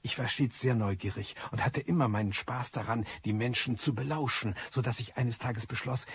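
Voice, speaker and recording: Radio play narrator: bitter, reflective male loner, very raspy, middling pitch. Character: disillusioned, bitter male loner, obsessive computer eavesdropper. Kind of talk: first-person radio drama narration.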